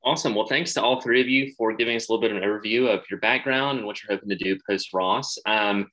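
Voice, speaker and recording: A young man hosts a student podcast. He talks quickly in a low, bright voice and stays upbeat as he steers the conversation with questions. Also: rough recording. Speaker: podcast host. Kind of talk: hosting a podcast interview.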